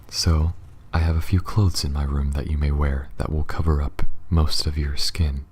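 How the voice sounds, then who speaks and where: Guy voicing a calm alien pilot for asmr, super low dark voice, soft and halting, noisy background. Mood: apologetic, explaining. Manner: halting, soft-spoken, often dropping into near whisper. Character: peculiar, calm, reassuring male alien spaceship pilot with a tail. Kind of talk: ASMR roleplay addressed to the listener.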